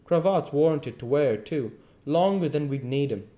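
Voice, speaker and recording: A man's voice: on the high side, expressive, moderate pace, dark tone, pitched above the performer's own voice, lightly acted. A man voicing a domineering, scornful swindler who scolds in folksy rural speech, in a dramatised audiobook. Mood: scolding.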